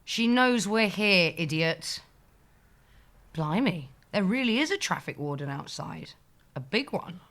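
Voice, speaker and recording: A woman's voice: fairly low pitch, lively intonation, measured pace, fairly bright tone. A woman playing a sardonic, irritable office worker, dry and blunt, in an audio sitcom. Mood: irritated.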